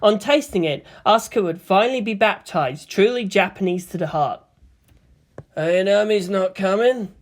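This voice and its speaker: A woman reads fiction aloud as a narrator. Her pitch moves around in a very lively way while she keeps to a scripted storytelling delivery. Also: a little fast, hardly any pauses. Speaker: fan fiction reader.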